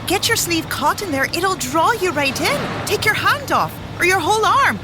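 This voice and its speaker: An actress playing a brisk, impatient, bossy female mill worker in an audio drama, with old-fashioned working-class phrasing. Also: very high voice, big pitch swings, noisy background. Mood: brusque, incredulous.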